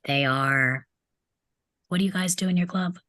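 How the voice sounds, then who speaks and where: A woman's voice: lowish voice, unhurried, fairly bright tone, no room sound, studio quality. A woman playing a sarcastic, rebellious teenage boy in a script table read, with a mocking, sardonic delivery. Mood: scornful, taunting. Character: sarcastic rebellious male teenage troublemaker, taunting and cynical.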